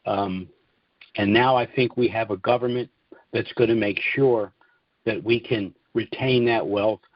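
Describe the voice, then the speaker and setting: A middle-aged or older American man hosting a client conference call, speaking informally and in a measured way with hesitant mid-sentence pauses. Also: fairly low pitch, steady tone, no echo. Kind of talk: informal financial monologue to clients. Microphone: conference call line. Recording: dull, dry, lo-fi conference call audio.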